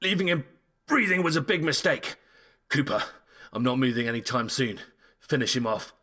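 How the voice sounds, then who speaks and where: Man playing a forceful, combative soldier. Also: mid-range voice, animated, moderate pace. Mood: pained, defiant. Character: gruff, combative male special-agent soldier. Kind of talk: scripted sci-fi audio drama acting.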